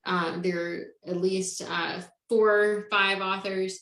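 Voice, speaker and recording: A woman's voice: medium pitch, level tone, slow, a bit bright, reverberant. A woman hosting a casual interview, talking with lots of fillers and easy laughs. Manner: bubbly, filler-heavy, laughs often. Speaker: podcast host.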